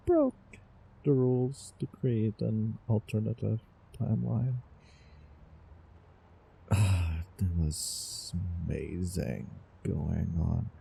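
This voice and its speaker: A man playing a doting, obsessive young time traveler in love, in a theatrical romantic audio roleplay with halting, repeated words. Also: low-pitched, creaky, warm tone, a little hiss or hum, exaggerated voice. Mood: nostalgic, musing. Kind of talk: over-the-top romantic roleplay audio drama.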